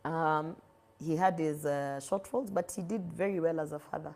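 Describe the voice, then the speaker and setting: A woman's voice: low voice, normal speed, over a noisy crowd. A middle-aged woman from Nairobi with a Kenyan accent, a guest chatting candidly and animatedly in English and Swahili. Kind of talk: casual interview chat.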